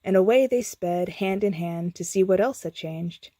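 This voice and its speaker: A woman's voice: on the low side, moderate pace, warm tone, no echo. Woman storyteller, dry close sound. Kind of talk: scripted bedtime story narration.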